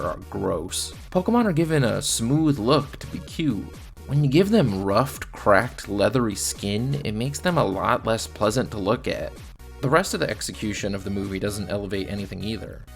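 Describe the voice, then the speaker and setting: A man's voice: medium pitch, gravelly. A man giving scripted film review commentary in a blunt, sardonic manner. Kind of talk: scripted video essay commentary.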